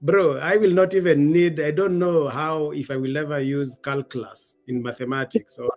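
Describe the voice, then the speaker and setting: A man's voice: a little high, steady tone, normal speed, a little mellow. A man hosting a podcast, asking questions casually, bantering with his guest and finishing her sentences. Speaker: podcast host. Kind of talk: casual interview conversation.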